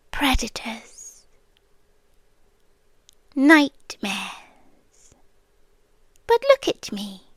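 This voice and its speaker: Slow, high-voiced British siren queen, acted by a woman. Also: no echo, exaggerated voice. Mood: tender menace. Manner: soft, slow, teasing, drifting into whispers. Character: possessive siren queen, female monster, sweetly menacing, obsessively loving. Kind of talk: intimate ASMR roleplay.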